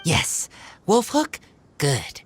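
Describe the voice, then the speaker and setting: An actor, a man, playing a shy feral wolf boy in a video game, speaking in halting, simple phrases. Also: a little high, huge pitch swings, slight background noise, full character voice. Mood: earnest. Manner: halting, broken short phrases.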